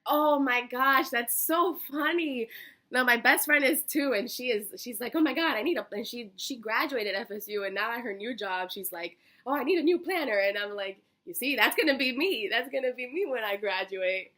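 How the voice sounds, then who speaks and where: Bright, bubbly young American woman. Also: fairly high pitch, lively intonation, moderate pace. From Miami, Florida, United States. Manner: bubbly, effusive, giggly, chatty. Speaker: job interview candidate, college student. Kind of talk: casual phone interview answers.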